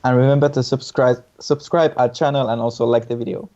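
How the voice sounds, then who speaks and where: A man's voice: mid-range voice, steady tone, fairly quick, mellow. A man co-hosting a casual review chat, giving opinions hesitantly and often repeating his words. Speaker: co-host reviewing song contest entries. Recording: dull lo-fi sound.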